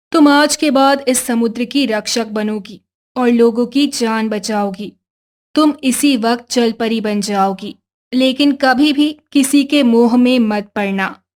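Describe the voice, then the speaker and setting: A woman voicing a wise red fairy in a cartoon, speaking in a solemn, commanding way. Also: on the high side. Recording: faint background music.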